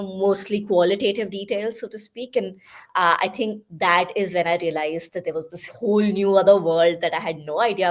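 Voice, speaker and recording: A young woman with an Indian English accent, a podcast guest talking through her path, with frequent fillers and asides. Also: fairly low pitch. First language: Hindi. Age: young adult. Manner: thoughtful, with many fillers and asides. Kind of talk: conversational interview.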